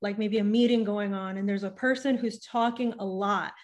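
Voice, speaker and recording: A woman's voice: mid-range voice, normal speed. A young woman speaking as a podcast guest over a video call, talking things through thoughtfully with frequent restarts, into a studio microphone at home. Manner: thoughtful, restarts often, animated with hand gestures. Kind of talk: casual interview conversation. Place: home room with bookshelf and patterned wall hanging. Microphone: studio microphone on a boom arm.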